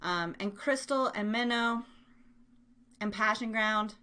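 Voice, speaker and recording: Female podcast host, higher-pitched voice, chatty and rambling, playful and self-mocking, talking off the cuff to live listeners. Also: takes it slowly, sharp tone. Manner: playful exaggeration and silly made-up words. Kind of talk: casual live chat show, talking to listeners in chat.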